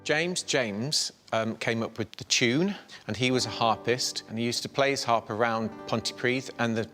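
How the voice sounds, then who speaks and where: A man's voice: fairly low pitch, a bit bright, in a large hall. A man acting as a museum guide, explaining history informatively with some hesitant fillers, indoors in a museum. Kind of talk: explaining to a presenter.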